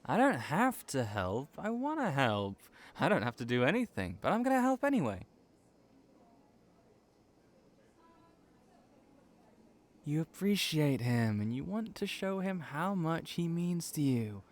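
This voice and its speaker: A man playing a brash, excitable young cafe worker in an audio roleplay, pushy and teasing but loyal, with emphatic, repetitive delivery. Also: a little high, moderate pace, hardly any pauses. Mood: determined.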